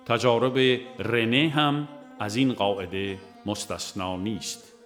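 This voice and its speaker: A man reads a scripted audiobook in a measured, formal manner. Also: fairly low pitch, fairly bright tone, faint music behind. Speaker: audiobook narrator.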